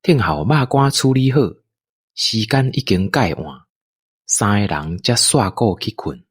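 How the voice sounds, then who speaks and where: Male narrator, Taiwanese accent. Very animated intonation, leisurely pace, storytelling for audio drama. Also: on the low side, breathy edge, no room sound, clean studio sound. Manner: unhurried, expressive storytelling delivery.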